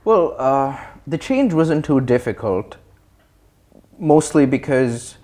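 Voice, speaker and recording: A young man from Sri Lanka answering interview questions in a thoughtful, articulate, measured way. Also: mid-range voice, expressive, darkish tone. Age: young adult. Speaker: interview guest. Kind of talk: reflective interview talk.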